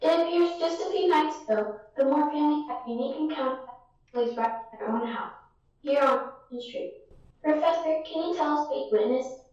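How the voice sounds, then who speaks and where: Actress as courteous, curious lady reporter for radio drama: high voice, earnest, very echoey, muffled recording. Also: fairly quick, a little mellow, lightly acted. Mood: polite, formal. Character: polite, inquisitive female news field reporter. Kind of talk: radio play news interview. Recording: very echoey room, distant muffled mic.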